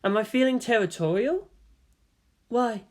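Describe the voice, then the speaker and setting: A man voicing a quiet, detached teenage girl pilot who speaks softly, formally and cryptically. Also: extremely high pitch, measured pace, pitched above the performer's own voice. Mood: detached curiosity. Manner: soft, flat, formal.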